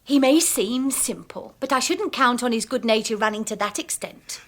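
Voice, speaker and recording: A scheming wife with a sharp tongue, as a woman plays her in a radio drama. She speaks with sly mockery in a somewhat high voice. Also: normal speed, lightly acted. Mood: taunting. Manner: taunting, sly. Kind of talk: 1980s radio drama acting.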